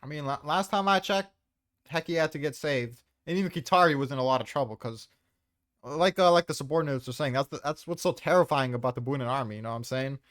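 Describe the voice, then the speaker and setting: A man's voice: medium pitch, fast, a bit bright, dry recording, clean studio sound. A man hosting a casual debate, speaking bluntly with slang and swearing. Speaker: host presenting his tier list.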